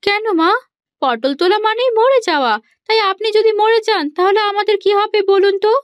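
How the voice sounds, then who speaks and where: A woman's voice: very high-pitched, fairly quick, dry recording, muffled recording. A woman playing a foolish, earnest young village wife in a cartoon, asking things in a naive, literal-minded way. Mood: earnest, chiding. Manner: naive, wide-eyed questioning. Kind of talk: cartoon voice acting.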